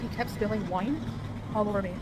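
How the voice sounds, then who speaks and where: 40 yr old woman talking fast into her phone, traffic and car noise all around. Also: medium pitch. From Canada. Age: 40s. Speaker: travel vlogger. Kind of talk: vlog narration. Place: outdoors near a street and in a car. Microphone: phone at arm's length. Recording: phone vlog with clear street and car noise.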